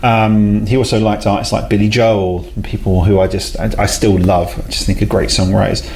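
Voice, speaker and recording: A man talks easily as an interview guest. His voice sits fairly low, and he jokes at his own expense and laughs readily. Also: flat delivery, moderate pace, darkish tone, slight background noise. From South London, England. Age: 40s. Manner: chatty, self-deprecating, laughs often. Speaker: guest, head of a talent company. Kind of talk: casual interview chat.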